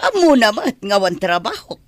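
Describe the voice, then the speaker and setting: A performer playing a sharp-tongued, evasive in-law parent in a radio drama, answering in a snappish, defensive way. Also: medium pitch, big pitch swings, moderate pace, no room sound, close to an everyday voice. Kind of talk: amateur radio drama acting.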